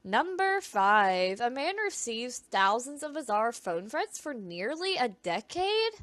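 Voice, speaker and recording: A woman's voice: on the high side, sing-song, takes it slowly, a bit bright, no room sound. A woman reading scripted creepy true stories in an informal way, stumbling over words now and then with self-conscious asides. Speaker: video narrator.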